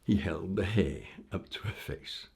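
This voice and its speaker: A man telling a folk tale aloud in an informal, theatrical way with dramatic pauses. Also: low voice, gravelly, darkish tone, husky. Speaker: storyteller. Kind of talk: animated oral folk-tale storytelling.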